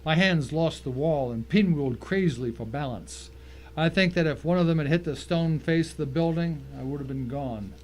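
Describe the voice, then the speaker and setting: A man's voice: a little high, normal speed, a little mellow, poor audio. A man giving a dramatic first-person reading of a short story as its narrator. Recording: faint noise and ambience behind.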